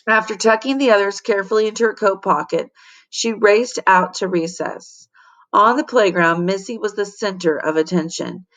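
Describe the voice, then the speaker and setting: Woman, teacher, expressive storybook read-aloud, medium pitch. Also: normal speed. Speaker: teacher reading to her class.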